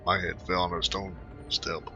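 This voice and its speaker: A man with a low voice gives casual commentary on his game. He speaks in a halting mumble and reads out dialogue choices, with very loud game music behind him. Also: flat delivery, highs cut off. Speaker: gamer recording a playthrough.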